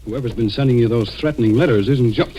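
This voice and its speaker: An actor playing an earnest, halting district attorney in a dramatic 1940s radio thriller. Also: male voice, mid-range voice, warm tone, a little hiss or hum, lightly acted, poor audio. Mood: concerned. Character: tall, earnest male district attorney telling his ordeal.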